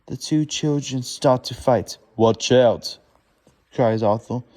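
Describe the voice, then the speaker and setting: A man reads a children's storybook aloud haltingly, stumbling over words and correcting himself as he goes. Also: medium pitch, dark tone. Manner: stumbling, laboured reading with self-corrections.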